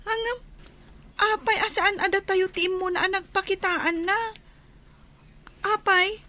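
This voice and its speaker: A woman's voice: very high-pitched, fairly even intonation, measured pace, pushed and bright, full character voice. A woman playing an anxious young granddaughter in a radio drama, with a plaintive, questioning way of talking. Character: anxious young granddaughter who misses her mother.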